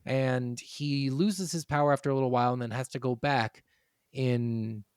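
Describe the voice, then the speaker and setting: A man's voice: mid-range voice, steady tone, normal speed, a little mellow, clean tone, dry recording, studio quality. A man co-hosting a gaming podcast, chatting casually in rambling explanations peppered with swearing. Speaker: podcast co-host. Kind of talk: casual chat about video games.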